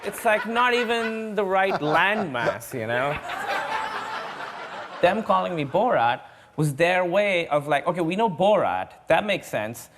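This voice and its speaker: A young man, a comedian guest, telling animated stories in a casual panel chat and laughing often. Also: sits high, a little slow, noisy background.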